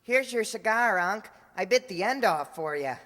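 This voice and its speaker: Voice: mid-range voice, animated, normal speed, a bit bright, close to an everyday voice. A performer acts an eager schoolboy nephew from an old-time radio comedy. The delivery is boyish, casual and slangy. The sound carries a long hall reverb. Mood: casual, cheeky.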